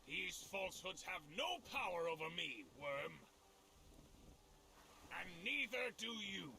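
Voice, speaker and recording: A man's voice: high voice, animated, takes it slowly, creaky, pushed and bright, exaggerated voice. A male actor playing a proud, regal dwarf king in a fantasy video game, declaiming with authority. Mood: defiant, proud.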